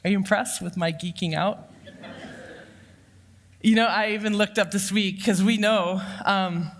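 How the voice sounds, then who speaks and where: Loud-voiced woman preaching in a reverberant church. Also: mid-range voice, unhurried, a little hiss or hum. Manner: lively, humorous, anecdotal. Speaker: church counseling director giving the sermon. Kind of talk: personal storytelling sermon. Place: church sanctuary. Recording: long reverb of a church hall.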